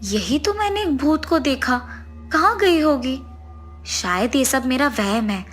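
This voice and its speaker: A woman's voice: high voice, lively intonation, measured pace, soft background music. A woman voicing a grieving, easily frightened husband who is master of the house in a cartoon story. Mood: sad, musing.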